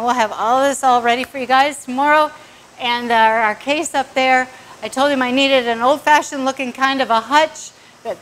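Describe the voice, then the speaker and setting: A woman's voice: on the high side, a bit bright, slight background noise, low-quality recording. A middle-aged woman, a bakery owner, giving a casual walking tour of her large restaurant, chatty and upbeat with many fillers.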